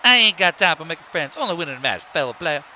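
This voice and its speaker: A man acts out a brash, cocky grizzly bear pimp from a cartoon wrestling show. The voice sits high and is very bright and forceful, full of swaggering taunts. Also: big pitch swings, fast talker, a little hiss or hum, full character voice. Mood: smug. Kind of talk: cartoon wrestling trash talk.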